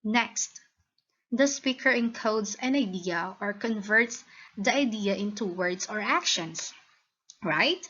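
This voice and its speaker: A woman with a Filipino accent narrating a scripted lesson as a teacher, in a deliberate, instructional manner. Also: mid-range voice, animated, a bit bright, breathy edge. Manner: deliberate, instructional, checks with listeners. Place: recorded voice-over for slide lesson. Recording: dull, lo-fi voice recording.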